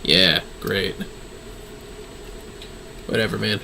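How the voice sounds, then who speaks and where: A man's voice: sits low, extremely slow, gravelly, bright, forceful tone, slight background noise. A man giving casual live gameplay commentary, talking to himself and swearing easily as he plays. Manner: easygoing swearing, talks to himself. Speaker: game streamer. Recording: music behind the voice.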